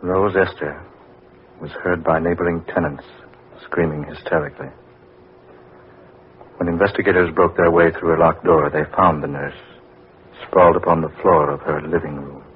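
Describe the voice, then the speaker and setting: Grave crime-report reader, bass voice, deliberate, old radio drama, tinny rumbly recording. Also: male voice, steady tone, a little hiss or hum. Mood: ominous. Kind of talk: 1940s radio dramatic narration.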